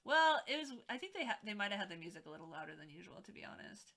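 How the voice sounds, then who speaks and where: A woman's voice: medium pitch, very animated, rapid-fire, sharp tone. A woman streamer chatting casually while she arranges craft beads, laughing often and trailing off with "I don't know.". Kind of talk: casual chat.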